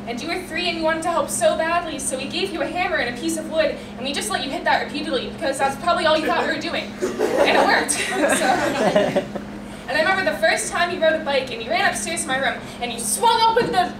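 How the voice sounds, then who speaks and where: Teenage girl, high voice, heartfelt poetry reading, earnest, echoey room, loud background noise. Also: quick pace, distant mic. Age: late teens. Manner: earnest and heartfelt, emotional, reads in long run-on lines. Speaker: student poet. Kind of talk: reading her own poem aloud. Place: school poetry reading in a hall.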